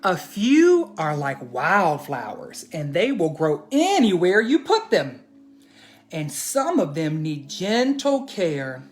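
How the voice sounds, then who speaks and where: A man reading aloud and teaching for children, expressive and addressing them directly with questions. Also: a little high, slow, faint music behind. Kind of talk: children's storybook read-aloud and lesson.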